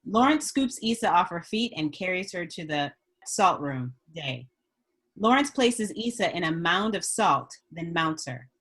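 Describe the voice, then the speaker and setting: A woman's voice: lowish voice, animated, measured pace, a bit bright, breathy edge. A woman reading screenplay stage directions as a narrator at a script table read.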